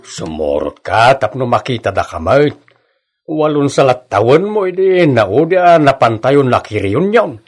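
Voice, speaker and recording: A man's voice: medium pitch, sing-song, unhurried, faint music behind. An actor with an Ilocano accent playing a chatty, teasing father and friend in a radio drama. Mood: fatherly.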